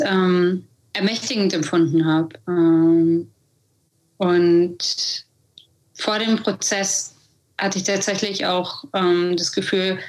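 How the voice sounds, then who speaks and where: Brief: woman, late 20s, podcast guest, very slow deliberate speech, searching for words. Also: medium pitch, low-quality recording. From Munich, Germany. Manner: slow, searching, pauses with fillers. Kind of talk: interview answer.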